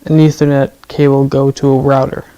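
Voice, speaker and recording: A man's voice: medium pitch, level tone, a little fast, warm tone, smooth, poor audio. A man chatting casually while he sound-checks his streaming gear, thinking aloud and often answering "right".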